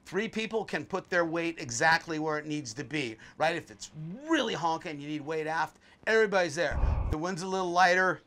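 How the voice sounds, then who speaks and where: An older man with a high, bright voice walks a viewer through a sailboat in a loose, opinionated way. He speaks bluntly and drops joking asides. He is recorded first on deck at a marina, then close up inside the boat's cramped cabin. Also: lively intonation, a little fast, few pauses. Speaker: boat tour host. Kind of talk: casual walkthrough commentary.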